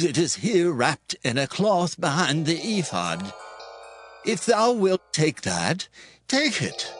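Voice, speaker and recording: Slow, grave ancient priest played by a man. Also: fairly high pitch, fairly bright tone, exaggerated voice. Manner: deliberate, measured. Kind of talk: dramatized scripture reading, archaic English.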